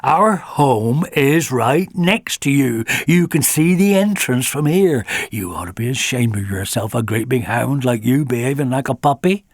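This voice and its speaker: A man voicing a brisk, stern adult male fox who scolds in a fatherly way. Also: mid-range voice, expressive, no room sound, clean studio sound.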